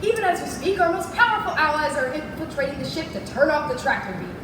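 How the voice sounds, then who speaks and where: A woman's voice: sits high, sing-song, fast talker. A woman playing a helpful, urgent rebel tech ally in a live readers theatre performance. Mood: explaining. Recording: echoey hall from far off, noisy.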